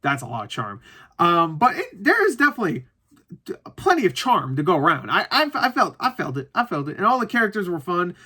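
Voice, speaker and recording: A man with a bright, punchy voice pitched a little high talks casually as a reviewer. He rambles through his opinions without a script, doubles back to correct himself and swears freely. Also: wildly swooping pitch, fairly quick, breathy edge. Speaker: movie reviewer. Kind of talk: casual unscripted review chat to viewers.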